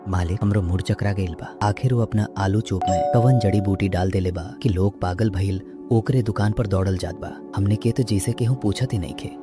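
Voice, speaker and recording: A man's voice: fairly low pitch, moderate pace, loud music behind the voice, close to an everyday voice. A man playing a puzzled, servile village shop assistant in a cartoon, with a Bhojpuri accent. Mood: bewildered. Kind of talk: animated moral story dialogue.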